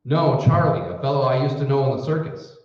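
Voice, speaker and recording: A man's voice: medium pitch, expressive, brisk, close to an everyday voice. A man playing a blustery, folksy, self-important husband in a 1940s radio comedy. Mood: indignant, folksy. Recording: muffled, echoey room, far from mic.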